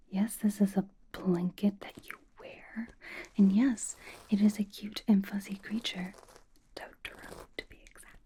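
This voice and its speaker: A woman playing a sassy, vain young K-pop star doting on a fan in an ASMR roleplay, in a breathy whisper with teasing, deadpan delivery. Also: mid-range voice, a little hiss or hum, close to an everyday voice. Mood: playful, smug.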